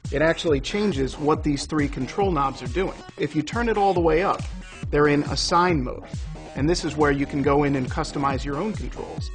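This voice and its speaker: A man's voice: fairly high pitch, moderate pace. A man presenting a product in a conversational, explanatory, semi-scripted way. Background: synthesizer music.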